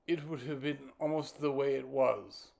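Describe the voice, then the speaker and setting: A man reciting his own poem as spoken word, in deliberate, broken phrases with halting pauses and repeated words. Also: mid-range voice, fairly even intonation, creaky, a little mellow. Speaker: poet reciting own work.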